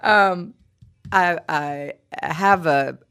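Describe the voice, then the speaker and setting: Middle-aged woman, devotional speaker, lowish pitch, hoarse from a cold, gravelly texture, conversational with stumbling repeats. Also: very slow, a bit bright. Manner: conversational, with frequent stammered repeats and "you know". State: froggy voice from a cold. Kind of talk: devotional talk with personal stories.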